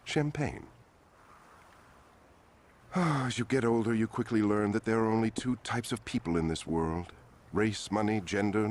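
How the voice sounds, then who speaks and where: An actor playing a smug, scheming middle-aged politician in a video game, delivering a genteel, condescending, talkative villain monologue. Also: male voice, on the low side, slight background noise.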